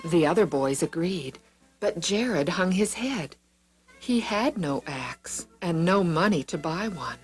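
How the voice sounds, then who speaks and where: A woman narrating children's Bible stories in an expressive, deliberate storybook style with dramatic emphasis on words. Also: lowish voice, husky, faint music behind.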